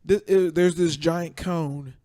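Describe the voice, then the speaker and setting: A man chatting casually on a podcast, telling stories in an excitable, emphatic way. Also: a little high, slow pace. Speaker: podcast panelist. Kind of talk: casual chat. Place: podcast studio.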